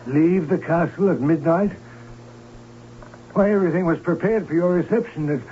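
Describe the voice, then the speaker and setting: A flustered older Baron from a radio play played by a man, blustering and pleading. His voice sits fairly high for a man. It comes through a tinny old radio recording with faint noise. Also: moderate pace, natural voice. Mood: baffled, pleading. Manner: blustering, sputtering. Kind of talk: old radio drama acting.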